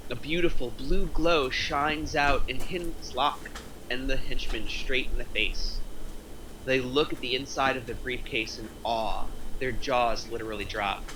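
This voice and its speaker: A man's voice: medium pitch, background noise. A man reading stage directions as the narrator at a script table read.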